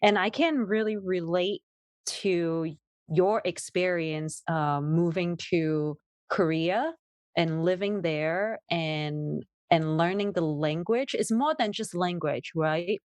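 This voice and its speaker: A woman's voice: lowish voice, slow pace, darkish tone, dry recording. A woman whose first language is Cantonese, speaking English as a podcast guest, thoughtful and searching for words. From Hong Kong. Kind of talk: casual podcast conversation.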